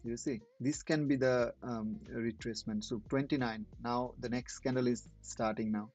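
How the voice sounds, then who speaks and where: A man whose first language is not English, hosting a trading channel and commenting casually on live charts in halting speech with many restarts. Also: medium pitch, fairly even intonation, a little mellow, soft background music.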